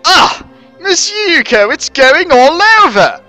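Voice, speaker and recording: Devoted half-ghost maidservant played by a man for a story reading, high-pitched, squealing and excitable. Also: slow, soft background music, exaggerated voice. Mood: panicked.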